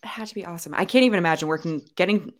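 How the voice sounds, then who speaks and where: A young woman co-hosts a relaxed podcast, chatting freely with plenty of fillers and false starts. Her voice sits a little low for a woman. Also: very fast. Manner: chatty, lots of fillers and restarts. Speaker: podcast co-host. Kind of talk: casual chat.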